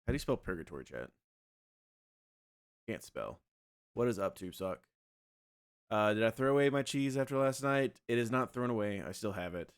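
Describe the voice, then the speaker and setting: A man's voice: lowish voice, normal speed, pauses often, smooth. Casual man with a slightly scratchy voice. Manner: relaxed, rambling, talks to chat. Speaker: streamer. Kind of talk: casual livestream chat.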